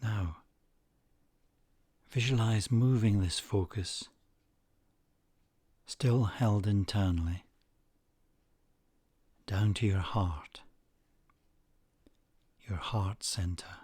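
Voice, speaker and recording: Very slow, low-voiced man guiding meditation. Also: darkish tone, breathy edge, dry recording. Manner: measured, with long pauses. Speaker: meditation guide. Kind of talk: guided meditation narration.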